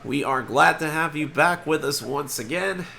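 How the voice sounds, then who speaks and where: Congested, high-voiced male game-show host. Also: moderate pace, slight background noise. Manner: energetic, chatty, throat-clearing. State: congested from allergies. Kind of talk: homemade game-show hosting.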